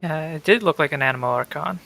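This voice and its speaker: A man's voice: on the high side, fast talker, gravelly, sharp tone. A man doing casual gameplay commentary as a streamer, playful and slangy. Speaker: gaming streamer. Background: game music.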